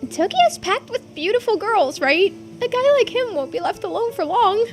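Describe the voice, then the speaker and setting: A woman voicing an excitable teenage girl diver in a video game, blurting things out casually. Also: very high-pitched, moderate pace, voice pushed up. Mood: nervous. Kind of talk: video game character voice-over. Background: music.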